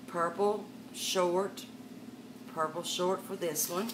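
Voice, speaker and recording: Older woman host, low voice, chatty live-sale patter, webcam a bit far off with room noise. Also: fairly even intonation, takes it slowly. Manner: chatty, calls viewers darling and miss. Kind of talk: live sales stream, chatting to viewers. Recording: distant mic with background noise.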